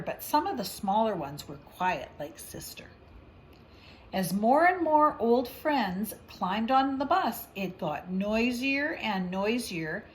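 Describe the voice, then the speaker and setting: A woman's voice: medium pitch, a little hiss or hum, rough recording. A woman reading a picture book aloud, with chatty asides and questions as if to a young child. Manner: conversational, explaining to a young child, gentle questions. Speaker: storybook reader.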